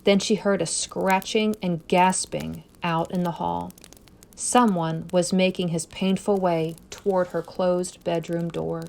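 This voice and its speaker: A woman's voice: on the low side, steady tone, measured pace, creaky, darkish tone. Woman narrating a suspenseful horror story. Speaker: story reader.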